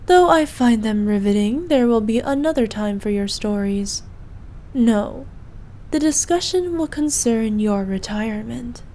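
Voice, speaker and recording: A woman's voice: fairly high pitch, expressive, measured pace, warm tone, slight background noise. A woman playing an evil, imperious queen in a scripted roleplay, speaking in a haughty, formal, commanding manner. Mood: tender, gracious. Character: evil imperious queen, cruel to subjects, doting on her knight. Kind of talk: scripted ASMR roleplay acting.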